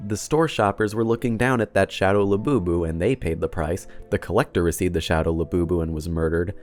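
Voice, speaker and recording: A man's voice: deep voice, darkish tone. A man narrating scripted commentary in a casual, dryly sarcastic way with some swearing. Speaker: video essay host.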